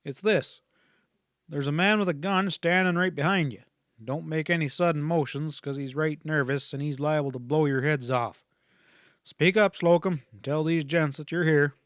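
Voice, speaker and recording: A cool-headed Texas Ranger, as a man reads him in an audiobook western, talking in an easy folksy drawl with a dry wry edge. His voice sits a bit higher and punchier than plain narration. The room is dry and close. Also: normal speed, dry recording, natural voice. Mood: commanding.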